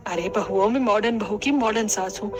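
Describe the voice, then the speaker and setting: A woman's voice: medium pitch, fairly quick, natural voice. A woman voicing a haughty, scornful middle-aged mother-in-law in a cartoon, proud of being fashionable. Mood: boastful. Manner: scolding, disdainful.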